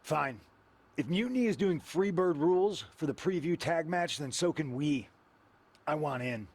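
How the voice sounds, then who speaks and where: Indignant male wrestler, raspy and a bit high, determined, game story scene. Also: expressive, moderate pace, a little mellow. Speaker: voice actor in a wrestling video game. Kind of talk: scripted cutscene dialogue.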